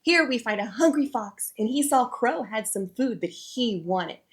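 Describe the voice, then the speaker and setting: A woman's voice: fairly high pitch, animated, unhurried. A woman hosting a read-aloud show for children, presenting from a script and addressing young listeners directly.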